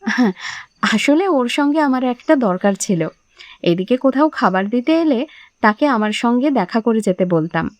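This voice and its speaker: A woman's voice: fairly high pitch, lively intonation, moderate pace, no echo, lightly acted. A woman playing a concerned, inquisitive customer in an audio drama, speaking earnestly. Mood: polite, inquiring. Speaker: voice actor in an audio story. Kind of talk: dramatized audio horror story dialogue.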